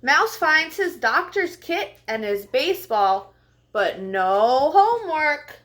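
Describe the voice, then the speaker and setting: A woman hosting a children's storytime, speaking in simple, deliberate phrases meant for young listeners. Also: high-pitched, very animated, fairly bright tone. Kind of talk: read-aloud and talk addressed to young children.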